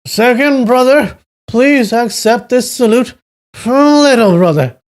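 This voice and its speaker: Man acting a high, slurring drunk young nobleman. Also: lively intonation. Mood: drunken elation. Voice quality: slurred, drawn-out drunken speech. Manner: effusive, loudly declaiming. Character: drunk, elated young nobleman, effusive sworn brother. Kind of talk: audiobook character voice.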